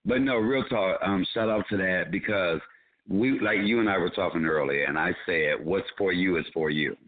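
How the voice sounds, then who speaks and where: Deep-voiced joking man on a phone line. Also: moderate pace. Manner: playful, teasing, laughs often. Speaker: comedian guest. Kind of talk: joking radio chat.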